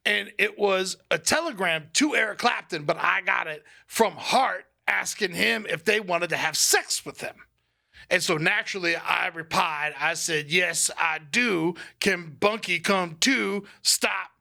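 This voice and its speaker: Man doing a high, gravelly burnout roadie. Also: measured pace, bright, forceful tone, heavily acted. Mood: rambling. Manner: rambling, absurd boasting tall tales. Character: spaced-out burnout male roadie storyteller. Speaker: podcast co-host. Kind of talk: improvised comedy bit.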